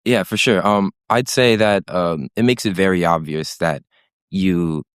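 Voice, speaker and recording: A man's voice: deep voice, a little slow, smooth, no echo, studio quality. A young man, a podcast guest who talks hesitantly with many fillers. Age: late teens. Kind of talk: casual chat.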